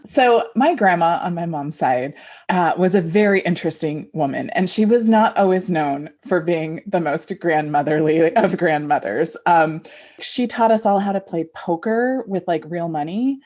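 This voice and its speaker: A woman's voice: on the low side, normal speed, darkish tone. A woman in her forties co-hosting a podcast, chatting casually and sharing personal anecdotes. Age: 40s. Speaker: podcast co-host. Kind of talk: casual chat.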